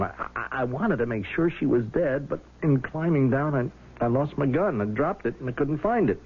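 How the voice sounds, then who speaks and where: An actor playing a scheming, bitter husband who has turned blackmailer, sardonic and falsely easygoing, in 1970s radio drama style. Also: male voice, mid-range voice, breathy edge. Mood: tense, recounting. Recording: narrowband, noisy old radio broadcast recording.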